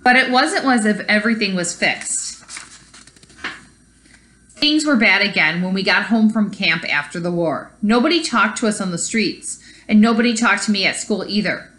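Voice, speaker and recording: A woman's voice: mid-range voice, moderate pace, bright, forceful tone. A woman teacher reading a children's story aloud expressively and explaining it to young pupils. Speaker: elementary school teacher.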